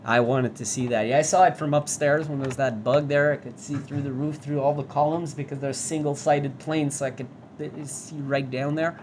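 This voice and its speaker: A woman's voice: extremely low pitch, fairly even intonation, normal speed, few pauses, a little mellow, a little hiss or hum. A woman giving casual, profane commentary while playing a VR demo, often reading in-game signs aloud. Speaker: VR game reviewer.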